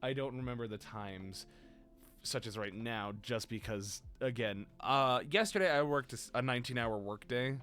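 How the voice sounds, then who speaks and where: A young adult man hosting a casual livestream, tired and exhausted, rambling in a playful, self-mocking way, his voice deeper than usual today. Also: moderate pace, fairly bright tone, faint music behind. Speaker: stream host.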